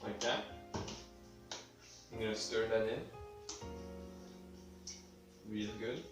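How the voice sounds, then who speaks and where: A man's voice: lowish voice, fairly even intonation, takes it slowly. Casting: young guy, sniffly cold, rambling and crude, recorded from a distance in a very echoey kitchen. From Sweden. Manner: rambling, offhand, swears casually. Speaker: home cooking video host. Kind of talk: casual cooking demonstration. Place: home kitchen. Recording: echoey room, mic at a distance, faint music.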